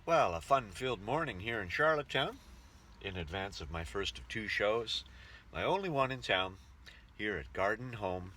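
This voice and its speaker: A man's voice: lowish voice, lively intonation, moderate pace, noisy background. A man narrating his own casual travel vlog as its host. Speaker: vlog host, touring performer.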